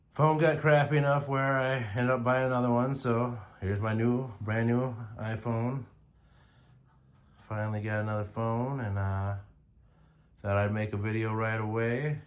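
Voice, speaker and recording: An American man in his forties talking casually and informally to his phone as a motorcycle vlogger, rambling in a slangy, conversational way. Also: fairly low pitch. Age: 40s. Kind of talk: casual rambling vlog to camera.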